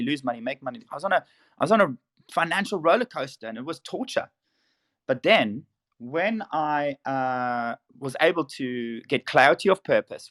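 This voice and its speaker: A man's voice: mid-range voice, fairly bright tone. Man, thirties, panel moderator, earnest motivational talk, online audio room. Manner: earnest, motivational, with self-corrections.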